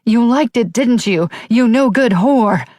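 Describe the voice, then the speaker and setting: A woman's voice: fairly high pitch, lively intonation, measured pace, dark tone, dry recording, studio quality, voice pushed up. A woman voicing a cruel, scornful mother who berates her daughter. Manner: sneering, contemptuous.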